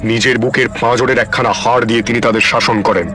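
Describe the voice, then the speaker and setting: An actor playing a kindly, wise wandering ascetic in an audio story drama, speaking in a gentle, earnest, philosophical manner. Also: male voice, medium pitch, steady tone, fast talker, loud music behind the voice, close to an everyday voice. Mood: solemn teaching. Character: wandering holy man, kindly, wise, plain-spoken ascetic.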